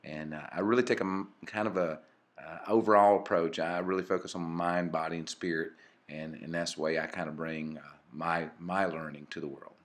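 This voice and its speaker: Deep-voiced man in his forties. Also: a little fast. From Mississippi. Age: 40s. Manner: relaxed, many fillers and restarts. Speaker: channel co-host. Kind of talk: casual voice-over narration.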